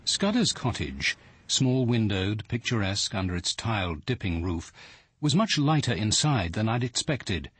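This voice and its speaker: An actor playing an observant, cultured detective narrating a radio drama in a measured, precise British voice with some huskiness. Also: male voice, fairly low pitch, lively intonation, fairly bright tone, husky, no echo, full character voice. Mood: reflective, composed. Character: observant, cultured male detective narrating his own story. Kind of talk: scripted first-person drama narration.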